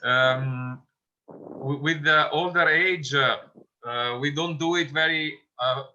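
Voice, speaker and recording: A man greets his teacher over a video call at a very unhurried pace. His voice is bright and sharp and slightly high, and the call dulls the sound. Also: slight background noise. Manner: unhurried, friendly, laughing lightly. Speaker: student. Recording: dull video call audio.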